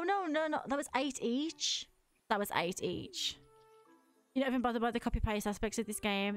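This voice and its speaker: A woman in her thirties with a mild cold, chatting casually to herself and her chat while playing a game at home on a headset. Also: a little high. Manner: chatty, self-talking, giggly asides. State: has a mild cold, a little tired. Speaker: livestreamer. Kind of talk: casual gameplay commentary.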